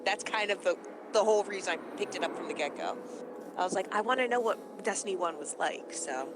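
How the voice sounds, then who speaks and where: A woman's voice: mid-range voice, animated, fairly quick, noisy background. A woman bantering casually as a co-player on a video game stream.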